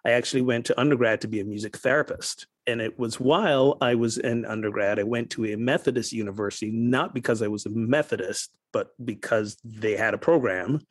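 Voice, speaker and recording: A middle-aged man with a Barbadian accent tells his story in a lively way, laughing as he goes and repeating words as he gathers himself. His voice sits at an ordinary male pitch and is recorded dry and close, with no room sound around it. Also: a little fast, few pauses, no echo. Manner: animated, laughs while telling stories, repeats words when starting a sentence. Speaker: guest, minister. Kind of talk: conversational storytelling.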